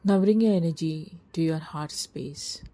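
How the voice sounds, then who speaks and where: Woman, low-pitched, soft breathy husky tone, scripted guided meditation, soothing hushed delivery, long pauses.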